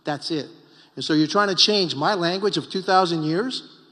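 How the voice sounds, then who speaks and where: A man's voice: fairly high pitch, moderate pace, sharp tone, big-room sound. A middle-aged Cuban-born man, a congressman and former mayor, giving emphatic, repetitive panel remarks at a political retreat. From Cuba.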